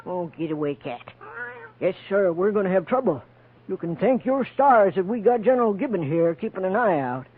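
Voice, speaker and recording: An actor playing a folksy, talkative frontier bartender with a rural American drawl, in 1950s radio drama style. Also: male voice, high voice, moderate pace, breathy edge. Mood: gossipy, foreboding. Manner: drawling, folksy, excitable, gossipy. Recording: narrow-band, noisy old radio recording.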